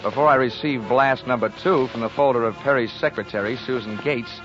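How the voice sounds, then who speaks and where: An actor playing a wry, hard-boiled insurance investigator in a 1940s radio detective drama, wisecracking and clipped, both in narration and in dialogue. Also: male voice, mid-range voice, a little fast, fairly bright tone, faint music behind, natural voice. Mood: brisk narrating. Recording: dull lo-fi 1940s radio recording.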